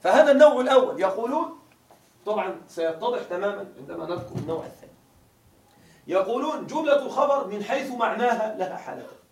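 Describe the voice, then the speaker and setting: A man with a high voice teaches a grammar lesson, heard from a distance in a reverberant classroom. He explains patiently step by step and checks understanding with questions. A faint hiss sits under the recording. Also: animated, fast talker. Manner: explains step by step, quizzes students with rhetorical questions.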